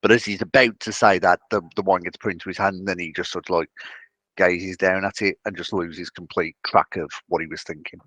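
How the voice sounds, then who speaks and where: A man with a lowish voice, recorded dry, improvises his part in a tabletop roleplay. His delivery is casual and joking, with halting narration and banter. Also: steady tone, fairly bright tone, no room sound, low-quality recording.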